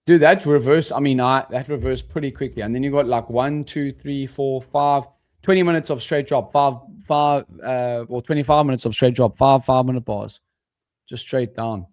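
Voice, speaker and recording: A relaxed young man in his twenties, co-hosting a live markets stream. He talks loosely and casually, calls his co-host "bro" and often drifts off mid-thought. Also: medium pitch, moderate pace. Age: 20s. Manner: laid-back, says "bro" and "dude", trails off mid-sentence.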